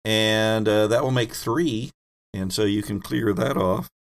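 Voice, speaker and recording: A man with a gravelly, creaky voice, running a tabletop roleplaying game as host and game master. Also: fairly low pitch, a little slow.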